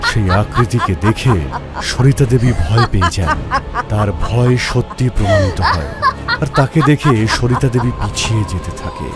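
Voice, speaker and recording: A man giving scripted narration of an animated horror story. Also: medium pitch, normal speed. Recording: music behind the narration.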